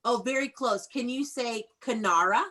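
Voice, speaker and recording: A woman's voice: a little high, moderate pace, sharp tone. A woman teaching young children in an online read-aloud class, prompting them with questions and encouragement in an instructive way.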